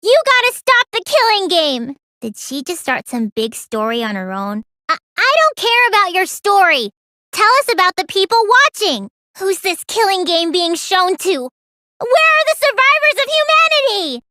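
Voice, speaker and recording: A woman's voice: extremely high pitch, very animated, moderate pace, bright, forceful tone, no room sound, studio quality, heavily acted. A woman voicing a small, childlike teenage girl mage in an anime video game, earnest and pouty, stammering when flustered. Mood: indignant.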